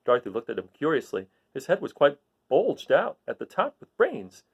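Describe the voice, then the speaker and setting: A man reading a storybook aloud as an expressive narrator. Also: medium pitch, normal speed, vocal fry, breathy edge. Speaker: storybook reader.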